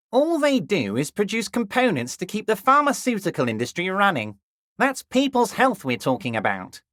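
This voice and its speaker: An actor playing a scheming, jovial middle-aged male union boss in a video game, with a smooth, folksy, ingratiating delivery that drops into a deep, confiding murmur. The voice is acted for the game. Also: fairly bright tone, dry recording, studio quality, full character voice. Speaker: union leader.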